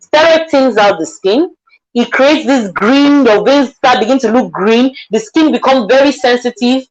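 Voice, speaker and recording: A woman's voice: on the high side, unhurried, lo-fi sound. A Nigerian woman, a makeup artist, explaining things earnestly in a live online interview and often checking that she is understood. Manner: earnest, repeats herself, asks "do you understand".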